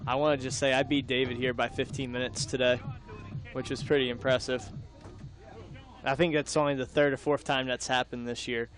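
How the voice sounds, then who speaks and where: A man's voice: medium pitch, little pitch movement, fairly quick, a bit bright, soft background music. A young man doing live baseball play-by-play, mixing the calls with casual banter. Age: young adult.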